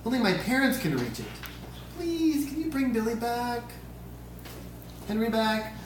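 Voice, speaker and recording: A woman's voice: a little high, sing-song, a little slow. A woman playing a plaintive, protesting nine-year-old boy at an amateur script read. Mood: indignant, pleading. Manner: whiny, protesting. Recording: echoey room, distant mic, hiss.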